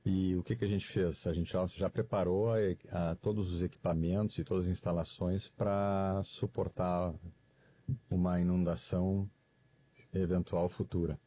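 Very deep husky man. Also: level tone, warm tone, no echo. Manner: halting, filler-laden speech with frequent "né". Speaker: transport company guest. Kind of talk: interview answers.

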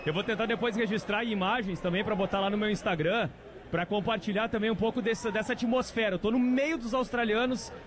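A man's voice: sits high, a little fast. A man reporting live from the stands of a stadium, raising his voice over the fans. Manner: excited, raises voice over the crowd. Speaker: field reporter. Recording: noisy crowd outdoors. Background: cheering crowd.

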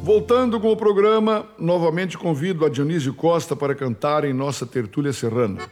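A man with a southern Brazilian accent presenting a radio music show in a formal, courteous, measured announcer style. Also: fairly high pitch, darkish tone. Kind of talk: formal scripted radio presenting with sponsor reads. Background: music under the voice.

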